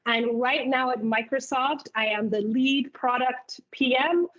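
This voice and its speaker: A woman from Uganda presents in her thirties, her voice sitting a little high as she moves steadily from point to point. She speaks like a practiced keynote speaker laying out a clear agenda. The sound is dull and muffled, like audio from a video call. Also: measured pace, a bit bright. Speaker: keynote speaker and product manager. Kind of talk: presentation at a virtual hiring event.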